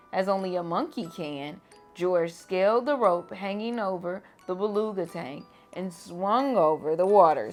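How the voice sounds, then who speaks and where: A woman reading a children's picture book aloud to kids in a gentle, expressive storytime manner. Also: on the low side. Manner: gentle, expressive, lively on exclamations. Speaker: storytime reader.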